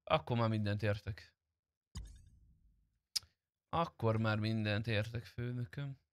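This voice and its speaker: A man's voice: fairly low pitch, clean tone, dry recording, clean studio sound. A man chatting casually on a game stream, informal and teasing, with some swearing. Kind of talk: casual live-stream chat during gameplay.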